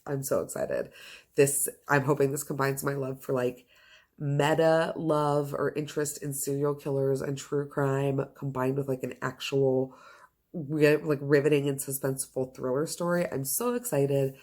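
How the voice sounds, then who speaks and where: A woman's voice: extremely low pitch, fairly even intonation, moderate pace, darkish tone. A woman chatting casually to camera as a book reviewer, with a gushing, enthusiastic and emphatic manner. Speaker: book reviewer and video host.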